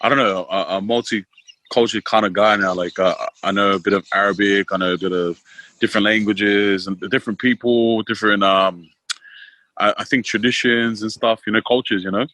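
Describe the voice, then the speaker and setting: A young Australian man in his twenties chats easily as a podcast guest, full of fillers and quick laughs. His voice is bright and forward, recorded close and dry. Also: lowish voice, steady tone, no room sound. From Queensland, Australia. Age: 20s. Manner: laid-back, many fillers, laughs easily. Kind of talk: casual interview talk.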